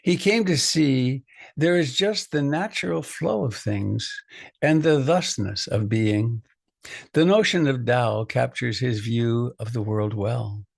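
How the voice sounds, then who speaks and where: A man reads poetry aloud with a gravelly creak in a mid-pitched voice. His phrasing is measured and reflective, like a meditation teacher's. The sound is close and dry. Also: no room sound.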